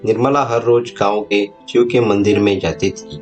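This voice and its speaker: A man's voice: lowish voice, fairly even intonation, moderate pace. A man narrating a scripted moral story as its storyteller. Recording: music bed behind the voice.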